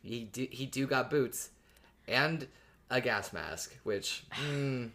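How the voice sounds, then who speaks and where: Very deep-voiced woman in casual chat. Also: slow pace, fairly bright tone. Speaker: podcast co-host. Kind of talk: casual fan discussion chat.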